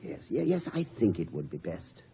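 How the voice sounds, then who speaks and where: Courtly college president, lively intonation. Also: male voice, sits low, moderate pace. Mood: hesitant, downcast. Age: middle-aged. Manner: hesitant, courtly, wordy. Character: dispirited middle-aged college president, gentle, wry and eloquent. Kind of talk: 1950s American radio comedy-drama acting. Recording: muffled, noisy old radio recording.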